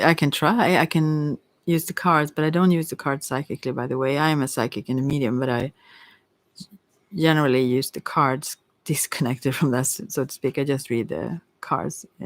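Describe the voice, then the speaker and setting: A tarot reader talking casually and hesitantly, thinking aloud while answering questions, in a soft voice that at times drops to a whisper. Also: mid-range voice, dry recording. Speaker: tarot reader hosting a live reading.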